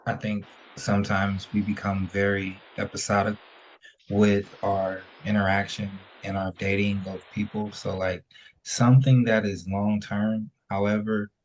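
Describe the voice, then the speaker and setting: A man in his thirties, a dating show guest, speaking in a measured, reflective way. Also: fairly low pitch, level tone, slight background noise, rough recording. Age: 30s.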